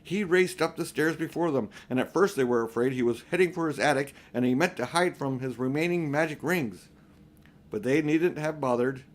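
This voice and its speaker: A man's voice: fairly high pitch, normal speed. Older man reading a children's storybook. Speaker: audiobook reader for children. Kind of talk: scripted storybook narration.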